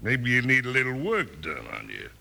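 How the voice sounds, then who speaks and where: An actor playing a menacing American gang boss in a radio western, with a taunting, sneering manner. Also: male voice, mid-range voice, huge pitch swings, normal speed, vocal fry. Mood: gloating menace. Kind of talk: 1950s radio western acting. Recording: old radio recording, faint noise and clicks.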